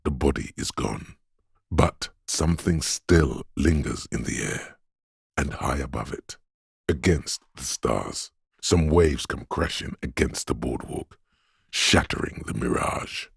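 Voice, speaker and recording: An actor voicing a detective's inner sense of sight in a video game, calm and observant, narrating in measured, literary lines with a dry wit. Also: male voice, dry recording, heavily acted. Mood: wistful, evocative. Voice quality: very deep, gravelly, husky. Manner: measured, deliberate, dryly wry.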